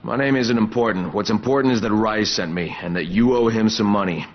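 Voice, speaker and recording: An actor as a low-voiced, cynical operative. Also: male voice, a little fast, natural voice. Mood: cold, threatening. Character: gruff, cynical undercover operative turned errand-runner. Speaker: video game protagonist. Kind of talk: cinematic video game acting. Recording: muffled game capture, clear background noise.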